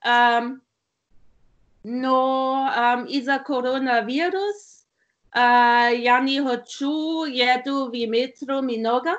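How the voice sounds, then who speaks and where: A woman with a German accent and German as her first language, practicing conversation as a learner, halting, with many filler sounds and restarts, on a video call from home. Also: high voice, lo-fi sound.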